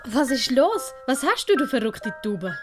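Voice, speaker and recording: A woman with a Swiss accent voicing an emotional, earnest young servant woman in an audiobook, plaintive and expressive. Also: high voice, unhurried. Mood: hopeful, tender. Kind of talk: audiobook character acting. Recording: loud background music.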